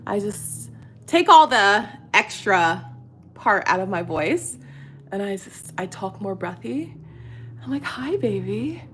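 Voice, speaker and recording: A middle-aged woman talking at an ordinary middle pitch in a relaxed, confiding vlog chat. She rambles, restarts her sentences and leans on filler words. A faint room hum sits behind her. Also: takes it slowly. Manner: chatty, confiding, lots of fillers and self-restarts. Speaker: vlogger. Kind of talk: casual get-ready-with-me chat.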